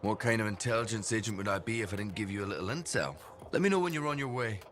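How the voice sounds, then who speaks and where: Blunt, cynical intelligence agent for a video game: somewhat low pitch, sardonic, brisk manner. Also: male voice, lively intonation, a bit bright. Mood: businesslike. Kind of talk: video game character acting.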